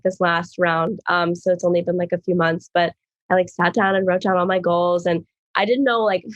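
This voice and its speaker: A woman guest, hoarse from being sick, talking in a rambling way with many fillers. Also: lowish voice, dry recording, lo-fi sound. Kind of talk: casual chat.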